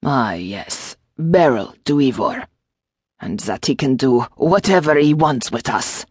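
Woman doing a husky little French gargoyle. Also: animated, a little slow, darkish tone, no room sound, studio quality, heavily acted. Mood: resigned. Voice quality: low, husky, lilting. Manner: formal, courtly phrasing with endearments. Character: small pathetic male gargoyle demon, wry and fretful.

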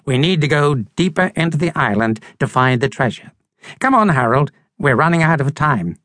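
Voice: medium pitch, expressive, moderate pace, a bit bright, dry recording, studio quality, close to an everyday voice. A storybook narrator voicing a bossy, boastful and overconfident male pig adventurer. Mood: bossy, dismissive. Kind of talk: storybook character reading.

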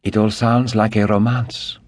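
A man's voice: lowish voice, flat delivery, a little slow, darkish tone, dry recording, voice pushed up. A man voicing an earnest, pleading French noblewoman.

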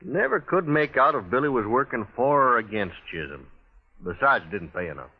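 An actor playing a boastful, easygoing gunslinger with a Western American drawl and a folksy, cocky manner, in radio western style. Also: male voice, medium pitch, very animated, a little fast, slightly rough. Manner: folksy, chuckling, cocky talk. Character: boastful, easygoing drifting gunslinger with restless menace. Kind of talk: 1950s radio western acting. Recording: tinny vintage 1950s radio recording.

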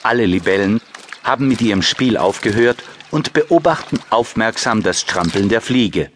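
A man's voice: lowish voice, lively intonation, moderate pace, fairly bright tone, slight background noise. A man reading scripted narration for a children's audiobook.

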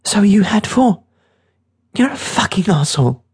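A narrator puts on a ten-year-old boy for an audiobook, pitched far above the narration and breathy. He is naive and sulky, protesting plaintively, with fear and grief underneath. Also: very animated, measured pace, a little mellow, full character voice. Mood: indignant.

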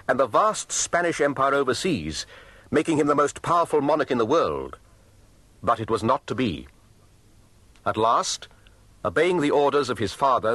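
A man's voice: medium pitch, fairly bright tone, no echo. A man giving a scripted, dramatised historical narration in a grave, formal, theatrical manner.

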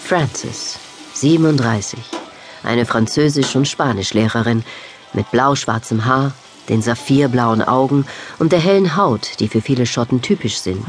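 Middle-pitched male radio drama narrator. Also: unhurried.

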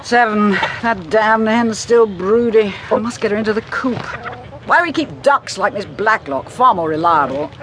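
An actress playing a brusque, no-nonsense English countrywoman in a radio drama, gruff, curt and clipped. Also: medium pitch, animated, normal speed, close to an everyday voice. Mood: bossy, grumbling. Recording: noisy outdoor farmyard ambience.

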